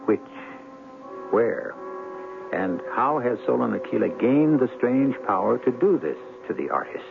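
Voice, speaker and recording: A man hosting and narrating a radio mystery in a gravelly, theatrical and ominous, deliberate manner. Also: mid-range voice, expressive. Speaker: host and narrator. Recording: tinny old radio broadcast. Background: music.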